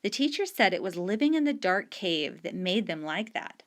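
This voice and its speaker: A woman reading a short story aloud in a steady, unhurried storytelling manner. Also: mid-range voice. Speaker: story reader.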